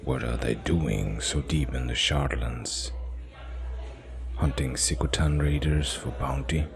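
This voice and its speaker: A wary, whiskered male creature from a dark fantasy audio drama, voiced by a male narrator. He mutters grimly and slowly in an extremely low voice, suspicious of what he sees. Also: gravelly, exaggerated voice.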